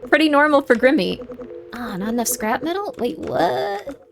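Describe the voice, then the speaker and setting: Higher-voiced woman streaming over game music. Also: big pitch swings, normal speed, gravelly. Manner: playful, reacting aloud to the game. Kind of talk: casual livestream game chat. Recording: prominent game music behind. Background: video game music.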